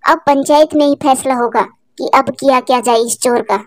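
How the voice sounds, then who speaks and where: A woman's voice: high-pitched, moderate pace, no echo, natural voice. A woman voicing a stern, unforgiving female eagle who commands and threatens in a cartoon.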